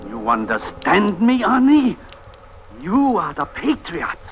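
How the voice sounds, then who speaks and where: An actor playing a proud, bitter, desperate older husband in a theatrical old radio drama, imperious and sarcastic. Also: male voice, high-pitched, wildly swooping pitch, slow pace, heavily acted. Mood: frantic. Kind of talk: 1940s-50s radio drama acting. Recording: noisy vintage radio recording.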